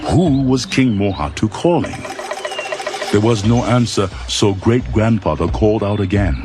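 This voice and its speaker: A man narrating a children's storybook audiobook in a dramatic, deliberate storytelling style. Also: fairly low pitch, lively intonation. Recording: loud music and animal sounds behind.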